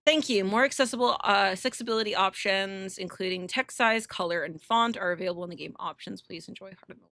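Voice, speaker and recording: A woman hosting a casual game livestream, chatting bluntly and irreverently and reading on-screen text aloud in an animated way. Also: medium pitch, quick pace, hardly any pauses, no room sound. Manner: foul-mouthed, irreverent, blunt. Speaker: live streamer hosting a visual novel playthrough.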